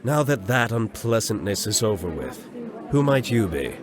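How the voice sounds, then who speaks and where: A man's voice: lowish voice, sing-song, moderate pace, a crowd in the background. A man playing a stern, commanding army commander in a fantasy audio drama, giving curt, authoritative orders. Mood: brisk command. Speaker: voice actor.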